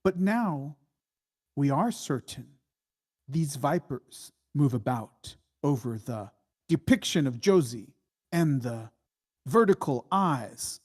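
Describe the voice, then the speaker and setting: A man with a mid-pitched voice narrates stage directions from a screenplay. He takes his time, setting out each phrase deliberately and with a sense of drama. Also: lively intonation.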